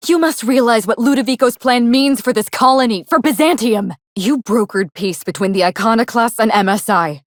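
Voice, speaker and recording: A woman playing a vengeful, theatrical actress turned assassin in a video game, speaking in a dramatic, deliberate and menacing way. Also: on the high side, dry recording, studio quality, lightly acted. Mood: indignant, reproachful. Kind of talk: scripted game dialogue.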